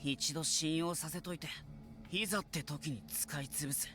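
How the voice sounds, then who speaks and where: A man playing a gruff, bitter, distrustful fighter in a visual novel game, with rough, blunt speech. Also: fairly high pitch, steady tone, a little fast, natural voice. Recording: loud game music over the voice. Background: game music.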